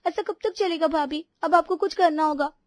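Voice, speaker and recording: A woman's voice: extremely high pitch, normal speed, a little mellow. A woman voicing an outspoken younger daughter-in-law in a family story, complaining and pleading her case. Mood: aggrieved. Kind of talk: animated Hindi family story dialogue.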